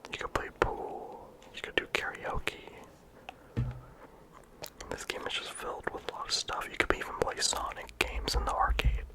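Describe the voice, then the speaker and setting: A game reviewer talking casually in a soft ASMR whisper, with frequent "like" and "um" fillers. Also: normal speed. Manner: soft unvoiced whisper throughout.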